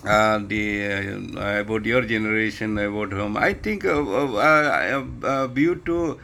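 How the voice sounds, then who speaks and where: A man's voice: on the low side, a little slow, vocal fry, sharp tone. A man with an Indian accent speaking very hesitantly in broken phrases during an unscripted ham radio contact. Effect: ham radio transmission. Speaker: amateur radio operator asking questions. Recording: amateur radio link with light noise.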